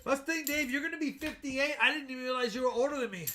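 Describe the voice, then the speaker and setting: A man of about fifty chatting excitedly with viewers as he hosts a live stream. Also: very high voice, fast talker, slight background noise. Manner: excited, chatty, keeps talking to viewers by name. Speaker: coin-hunting live streamer. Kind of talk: casual live-stream chat while searching coin rolls.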